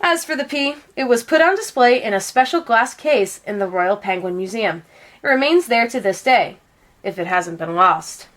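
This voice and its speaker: A woman reading a children's storybook aloud as an expressive narrator. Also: on the high side, normal speed. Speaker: storybook reader.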